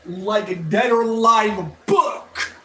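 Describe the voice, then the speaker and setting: A man giving rambling, casual opinion commentary as a review vlogger, halting and often repeating and restarting his phrases. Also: high voice, fairly bright tone, slight background noise. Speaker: toy and media review vlogger.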